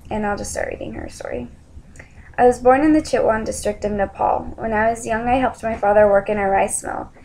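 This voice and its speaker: A young adult woman giving an informal spoken presentation in a somewhat hesitant, halting way. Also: mid-range voice, a little hiss or hum, rough recording.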